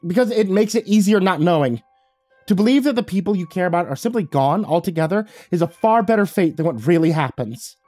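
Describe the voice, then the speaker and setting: A man's voice: a little high, normal speed, warm tone, dry recording, faint music behind, voice pushed up, close to an everyday voice. A man voicing a young woman in a spoken horror story, bitter and full of guilt as she recounts a loss.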